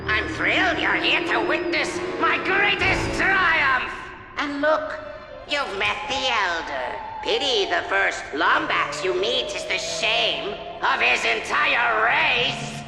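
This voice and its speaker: A theatrical robot supervillain for a video game. The voice is high and wildly varied, gloating and ranting with short-tempered melodrama over loud music. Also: male voice, expressive, takes it slowly, gravelly, roomy sound, big-room sound, full character voice. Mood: gloating menace.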